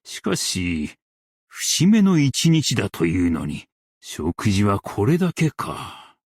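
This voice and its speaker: A man playing a principled, stern yet merciful scholar-strategist in a game, speaking in a formal, archaic and measured way. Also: fairly low pitch, huge pitch swings, a little mellow, breathy edge, no room sound, studio quality, full character voice. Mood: quiet, reflective. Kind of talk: Japanese game character voice lines.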